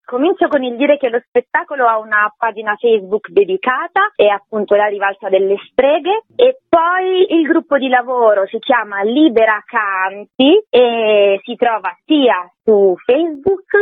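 A woman's voice: a little high, lively intonation, unhurried, no echo. A woman explaining her work as a theatre director in a radio interview. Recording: dry, band-limited phone line on radio.

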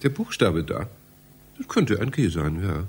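Very deep, bewildered, polite man. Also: wildly swooping pitch, unhurried, darkish tone, exaggerated voice. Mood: baffled, agreeable. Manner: hesitant, courteous, muttering to himself. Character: bewildered, polite male library visitor lost in corridors. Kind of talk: German radio play acting.

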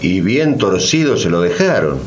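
An actor playing a sardonic storyteller and host in a satirical radio drama, colloquial and ironic with a mock-friendly manner. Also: male voice, low-pitched, sing-song, normal speed, husky, exaggerated voice. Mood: sardonic, indignant. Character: wry, sardonic male storyteller who interrogates historical villains. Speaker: narrator and host.

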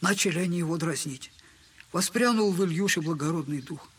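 High-voiced, servile, humiliated father. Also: a little fast, a bit bright, breathy edge. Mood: sorrowful, tender. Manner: servile, old-fashioned, wordy, self-mocking speech. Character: humiliated, buffoonish impoverished father, bitter and tender. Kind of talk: Russian literary audio drama acting.

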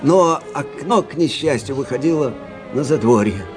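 An actor playing a plainspoken, rueful older army officer who recounts frontier tales conversationally in a radio play. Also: male voice, medium pitch, moderate pace, faint music behind, natural voice. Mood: animated storytelling. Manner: conversational, expressive, folksy. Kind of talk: dramatic storytelling in a radio play.

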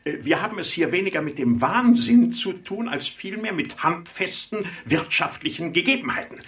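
A self-important male chairman in a radio play, a little away from the microphone. His voice is bright and forceful and swings animatedly in pitch. He is officious and easily flustered, with hesitant fillers breaking up his bluster. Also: lively intonation, recorded from a distance. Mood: pompous, lecturing. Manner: pompous, officious, hesitating with filled pauses, increasingly exasperated. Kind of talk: 1980s German radio drama acting.

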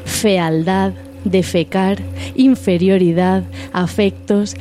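A woman's voice: lowish voice, dark tone. Woman presenting on radio over music. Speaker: segment host and writer. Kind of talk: radio talk and satirical scripted narration.

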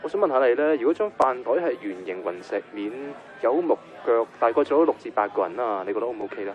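A man's voice: mid-range voice, lively intonation, quick pace. An actor playing an easygoing, practical man on a phone call in a Hong Kong Cantonese radio drama. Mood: casual, upbeat. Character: easygoing, practical man phoning about a bargain. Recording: muffled band-limited phone sound.

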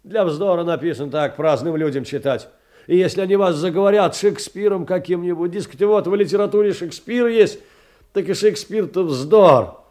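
An actor playing a poor, wounded clerk in a theatrical audio play, rambling indignantly in halting colloquial speech full of rhetorical questions. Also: male voice, high voice, darkish tone, lightly acted. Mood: scornful, agitated. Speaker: stage actor.

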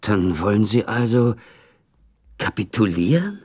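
A man's voice: on the low side, sing-song, a little slow, darkish tone, husky, no room sound, studio quality, heavily acted. An actor playing a loyal, deferential retainer in a radio drama, respectfully urging his noble master on. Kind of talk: German radio drama acting.

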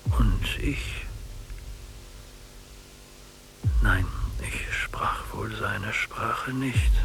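An older man acting in a literary radio drama as a bitter, mistrustful old blind prisoner, halting and brooding. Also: lowish voice, gravelly, fairly bright tone, exaggerated voice. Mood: brooding regret. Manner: slow, halting, brooding delivery.

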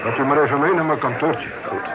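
An actor playing a polite but unyielding island salvage official in an old radio play, businesslike and outwardly deferential. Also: male voice, on the high side, fast talker, natural voice. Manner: deferential wording, firm and businesslike. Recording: slightly noisy old radio play recording.

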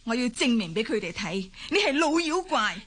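A woman's voice: a little high, very animated, moderate pace, a bit bright, husky, exaggerated voice. A woman playing a spiteful, accusing elderly grandmother in a radio drama. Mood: taunting. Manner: scornful, accusing.

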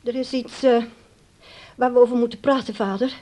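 An actress playing an anxious, dutiful grown daughter in a radio play, speaking carefully and hesitantly. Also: female voice, a little high, fairly even intonation, normal speed, darkish tone, close to an everyday voice. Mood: uneasy, careful.

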